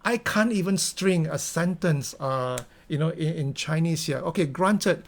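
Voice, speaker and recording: Earnest Singaporean man, higher voice. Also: measured pace. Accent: Singaporean English. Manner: earnest, many fillers and restarts. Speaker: company founder giving a talk. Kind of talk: motivational talk.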